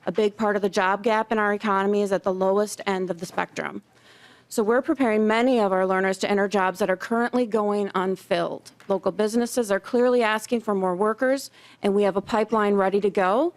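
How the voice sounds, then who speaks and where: A woman's voice: medium pitch, steady tone, fairly quick. A middle-aged woman, a community education director, reading a prepared statement in support of a bill at a legislative hearing. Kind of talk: prepared public testimony. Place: legislative committee hearing room.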